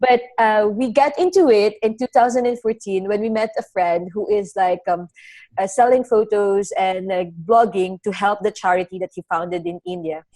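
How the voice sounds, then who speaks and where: Young woman, chatty guest telling her story in a relaxed webinar. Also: mid-range voice, normal speed. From Philippines. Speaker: travel blogger guest. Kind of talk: casual webinar interview.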